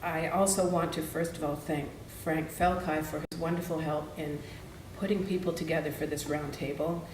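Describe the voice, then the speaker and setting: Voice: mid-range voice, steady tone, normal speed, a little mellow. A moderator chairing a roundtable in a courteous, organizing manner. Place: meeting room roundtable. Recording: distant mic, echoey room, hiss.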